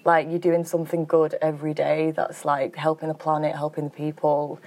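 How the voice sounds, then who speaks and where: A woman's voice: low-pitched, monotone, normal speed, dark tone, poor audio. A woman grower answering interview questions in a chatty, easygoing way, with lots of fillers and easy laughter. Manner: chatty, full of "like", laughs easily. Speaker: farm grower.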